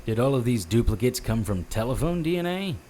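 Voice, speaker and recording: An actor playing a tough, wisecracking private detective in an audio drama, with wry deadpan delivery and noir-style narration. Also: male voice, mid-range voice, fairly quick.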